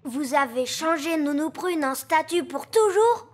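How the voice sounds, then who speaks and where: Eager, very high-voiced elf child. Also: female voice, expressive, a little slow, heavy background noise. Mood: concerned. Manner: earnest, childlike. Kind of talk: French-dubbed children's cartoon acting. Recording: music behind.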